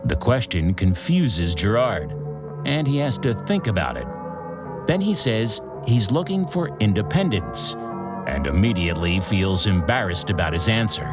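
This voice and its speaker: A theatrical true-crime host, with a low voice that swings widely in pitch. He tells his scripted story in short, deliberate sentences, leaving suspenseful pauses, over a music bed. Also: big pitch swings.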